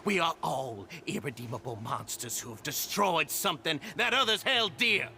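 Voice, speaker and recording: Sharp, furious tyrant gang boss. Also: male voice, fairly high pitch, lively intonation, normal speed, gravelly, slight background noise, heavily acted. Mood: furious, menacing. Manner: ranting, menacing, grandiose. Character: tyrannical, furious male prison gang boss, cruel and self-righteous. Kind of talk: theatrical video game voice acting.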